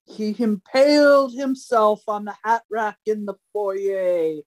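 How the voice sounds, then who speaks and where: A woman's voice: medium pitch, slow pace, lightly acted. A woman playing an imperious, theatrical great detective with a pompous, declamatory delivery in an old-time radio mystery parody. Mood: grandly triumphant.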